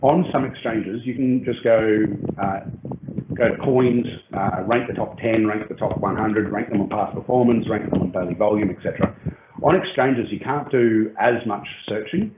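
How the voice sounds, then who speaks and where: A man with a lowish voice explains trading details at length, pausing often on ums and restarting his phrases. His voice comes through a thin, phone-like call line. The sound is roomy, with noise underneath. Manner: hesitant, many ums and restarts. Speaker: crypto project team lead. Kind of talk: explanatory AMA talk. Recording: tinny phone-like call audio, roomy and noisy.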